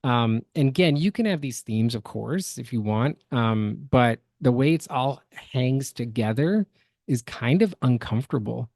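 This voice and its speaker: A man's voice: medium pitch, expressive, measured pace, a little mellow, no echo, studio quality. A man co-hosting a podcast, giving analytical, opinionated reaction commentary. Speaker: podcast co-host.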